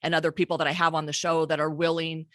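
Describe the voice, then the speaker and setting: A woman in her forties hosting a casual podcast, candid and quick to laugh, swearing freely, recorded on a studio mic with a pop filter. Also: deep voice, level tone, no echo. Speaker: podcast host. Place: home studio with shelves and plants.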